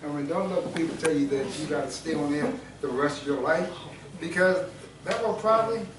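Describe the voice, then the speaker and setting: A man's voice: on the high side, brisk, background noise. Older man talking from across a roomy space. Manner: folksy, joking, repeats himself. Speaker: wellness speaker. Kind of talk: informal health talk to a group. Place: indoor room with an audience. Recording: roomy, from a distance, with a crowd.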